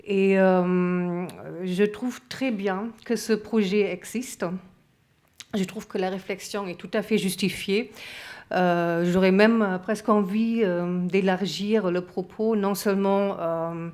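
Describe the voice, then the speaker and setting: A woman's voice: medium pitch, fairly even intonation, slow pace, darkish tone. A woman from Germany speaking French with a German accent, giving a conference talk with many hesitations. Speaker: association president and magazine photo editor. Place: conference room.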